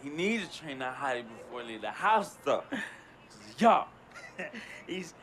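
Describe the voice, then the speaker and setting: An actor playing a cocky, slangy young troublemaker friend in a video game cutscene, with background noise. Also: male voice, medium pitch, very animated, slow pace, a bit bright. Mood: cocky, amused. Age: young adult. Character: cocky, joking young street-slick troublemaker friend, a man. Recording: noticeable background noise, ambient sound.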